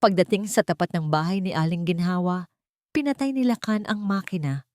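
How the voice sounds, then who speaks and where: A woman's voice: lowish voice, brisk, darkish tone, no echo, studio quality. A woman giving a dramatic, scripted narration of a story.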